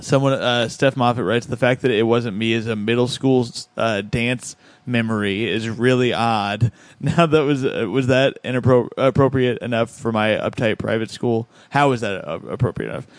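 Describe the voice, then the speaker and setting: A man's voice: mid-range voice, moderate pace, hardly any pauses, no room sound. A man hosting a podcast, reading out listener comments in a laid-back, chuckling way. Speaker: podcast host.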